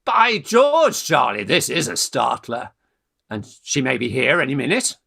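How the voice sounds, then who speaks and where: An actor playing a brash, scheming young English undergraduate in a theatrical Victorian farce, exclamatory and bossy, with jolly old-fashioned slang. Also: male voice, medium pitch, wildly swooping pitch, unhurried, gravelly, bright, forceful tone, slightly rough, heavily acted. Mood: excited, scheming. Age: young adult. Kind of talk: theatrical radio farce acting.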